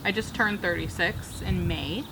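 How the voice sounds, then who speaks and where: A woman in her thirties talks in an upbeat, chatty way while she works on her plants, her voice bright and clear. She is recorded outdoors on a backyard patio by a camera across the table, with faint outdoor noise behind her. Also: on the low side, measured pace. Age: 30s. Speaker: plant vlogger. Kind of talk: casual chat while repotting plants. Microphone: camera framing from across a table.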